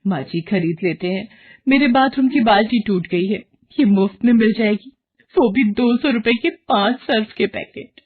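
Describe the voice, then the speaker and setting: A woman's voice: fairly high pitch, normal speed, breathy edge. A woman voicing a greedy, complaining young wife and mother in a cartoon story. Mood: eager. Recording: narrow phone-like sound.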